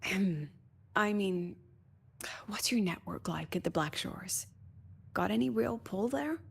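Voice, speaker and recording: An actress playing a weary, wry academy president in a video game, sly and chatty, talking conversationally with sighs and coy pauses. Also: female voice, mid-range voice, expressive, creaky, breathy edge, heavily acted. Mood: coy, probing. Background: background music.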